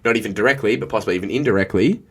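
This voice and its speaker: A low-voiced man co-hosting a podcast. His casual talk is dry and sarcastic, and he stretches out emphatic phrases for effect. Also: rapid-fire, breathy edge. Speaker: podcast co-host. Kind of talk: casual political chat.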